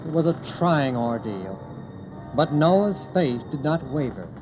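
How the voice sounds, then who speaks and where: A man reading a scripted children's Bible story as narrator, in a measured, solemn manner. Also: on the high side, sing-song. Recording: dull lo-fi audio under music.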